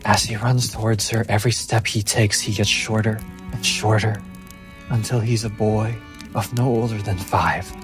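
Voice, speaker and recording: A man narrating a tabletop fantasy game as game master, with deliberate, suspenseful phrasing and dramatic pauses. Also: on the low side, fairly even intonation. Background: music.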